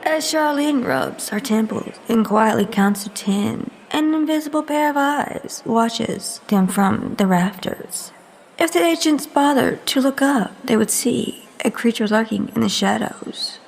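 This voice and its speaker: A woman's voice: on the high side, expressive, a little slow, gravelly, slight background noise, rough recording. A woman playing a teasing, ominous storyteller, narrating an audio drama in a mischievous, theatrical manner. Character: teasing, ominous female storyteller game master.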